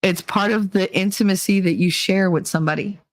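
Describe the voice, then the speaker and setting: A woman's voice: mid-range voice, steady tone, a little fast, no room sound. Blunt, profane woman ranting her opinions. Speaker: vlog host. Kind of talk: casual opinion monologue.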